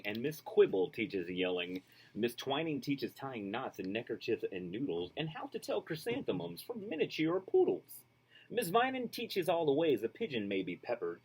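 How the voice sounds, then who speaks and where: A man reading a children's storybook aloud, expressive and rhythmic, with casual asides. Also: medium pitch, slight background noise, poor audio. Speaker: storybook reader.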